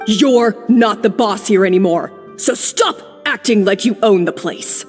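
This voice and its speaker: An actress playing a haughty, short-tempered head chef who talks in a sharp, bossy way. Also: female voice, mid-range voice, a little slow, faint music behind, natural voice. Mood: defensive. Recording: reverb.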